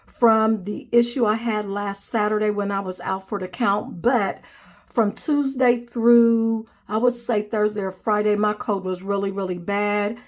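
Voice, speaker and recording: A woman's voice: medium pitch, steady tone, a little slow. A young woman hosting a budgeting vlog, chatting casually and counting cash aloud, sounding nasal from a cold. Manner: friendly, calls viewers "guys", counts money aloud. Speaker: budgeting channel host.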